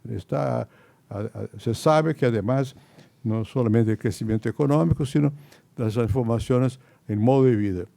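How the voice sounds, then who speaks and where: Older man, gravelly voice, Spanish. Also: mid-range voice, warm tone. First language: Portuguese. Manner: halting, many restarts and repeated words. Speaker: panelist. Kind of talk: panel debate remarks.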